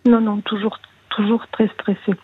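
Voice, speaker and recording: A woman's voice: medium pitch, little pitch movement, moderate pace. A woman calling in to a radio show, speaking hesitantly about her personal life and often searching for words. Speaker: caller. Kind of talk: personal confession on call-in radio. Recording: husky voice over a phone line.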